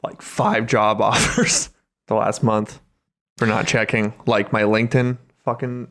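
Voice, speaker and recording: A man's voice: lowish voice, flat delivery, measured pace, a bit bright. A man co-hosting a podcast in casual comedic chat, slangy and profane. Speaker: podcast co-host.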